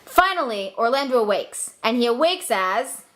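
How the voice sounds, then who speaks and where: Young female narrator, fairly high and expressive, casual flippant comic storytelling. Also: normal speed, heavy background noise.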